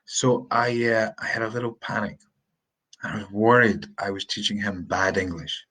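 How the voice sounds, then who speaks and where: A Scottish man in his thirties giving a live-streamed pronunciation lesson, chatty and rambling, repeating words and sounding them out slowly. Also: fairly low pitch, sharp tone, low-quality recording. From Scotland. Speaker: English teacher. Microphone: phone or webcam on a live stream.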